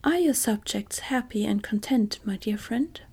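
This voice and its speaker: A courteous tin man emperor, stately and earnest, voiced by a woman in a hushed whisper. The sound is dark and mellow with a gravelly, breathy grain. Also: on the high side, expressive, a little slow, heavily acted. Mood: dignified. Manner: soft whispered delivery.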